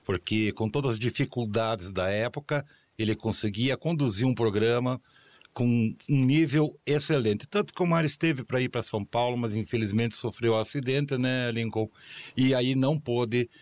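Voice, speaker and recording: A man's voice: mid-range voice, normal speed, a little mellow. A male journalist guest on a podcast interview, reminiscing affectionately and often adding tag questions. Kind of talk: casual interview.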